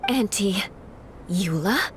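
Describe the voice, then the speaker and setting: An actress playing a poised, haughty young female knight captain in a video game, cool and measured. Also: mid-range voice, lively intonation, slight background noise. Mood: taken aback. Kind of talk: video game character acting.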